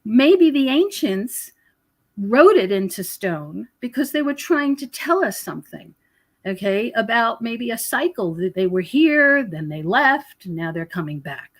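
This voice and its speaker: High-voiced woman explaining in conversation. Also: big pitch swings, unhurried. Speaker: guest researcher.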